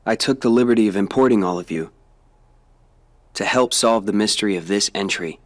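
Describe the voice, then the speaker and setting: A man playing a calm, cryptic teenage boy made of data in a video game, speaking in a measured, serious way. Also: fairly low pitch, dry recording, lightly acted. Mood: calm, explaining. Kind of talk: video game cutscene acting.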